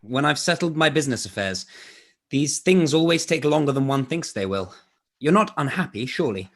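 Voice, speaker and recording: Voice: mid-range voice, expressive, normal speed, lightly acted. An actor playing an evasive young male lover in a period radio play, soothing and offhand. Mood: placating.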